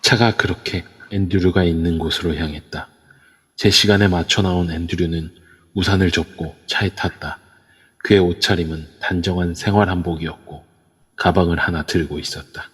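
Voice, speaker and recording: Deep, husky male narrator. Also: moderate pace. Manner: steady storytelling. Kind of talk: scripted narration. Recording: reverb-heavy online audio.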